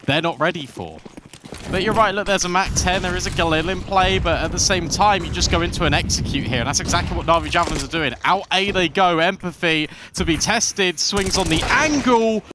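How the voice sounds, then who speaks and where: A man doing live play-by-play commentary on an esports match, excitable and quick to build with the action. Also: high voice, noisy background. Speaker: esports caster.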